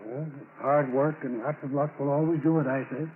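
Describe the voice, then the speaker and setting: A man's voice: mid-range voice, steady tone, fairly quick, natural voice. An actor as a genial, evasive salesman, scratchy old radio. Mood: affable, evasive. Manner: over-polite, chuckling, folksy. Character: genial, evasive salesman hiding his crimes. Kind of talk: 1940s radio acting. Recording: scratchy, tinny old-time radio broadcast.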